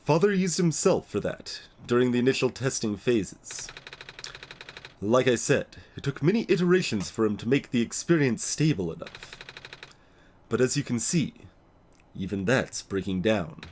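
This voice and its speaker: A man voicing an earnest, apologetic young game character who explains things gravely in a measured, hesitant way. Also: medium pitch, animated, darkish tone, a little hiss or hum, close to an everyday voice. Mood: grave, explanatory. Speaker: let's play voice-over reader. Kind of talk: acted reading of game dialogue.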